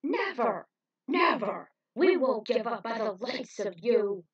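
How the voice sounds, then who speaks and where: A woman voicing a bold, defiant alien mutant in a story, with loud exclamations. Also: on the high side, expressive, slow, husky, full character voice. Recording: dull, distant microphone.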